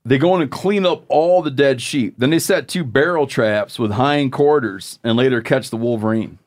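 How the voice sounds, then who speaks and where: A man's voice: medium pitch, normal speed. A man hosting a casual podcast chat, reading listener mail aloud and making joking asides. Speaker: podcast host.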